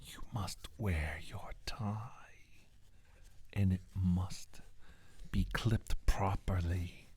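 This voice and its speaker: A man voicing a scornful, menacing alien reptilian scientist in a soft-spoken, half-whispered ASMR roleplay, taunting and condescending, with a breathy, husky voice. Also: low voice, steady tone, extremely slow, heavily acted. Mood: mocking.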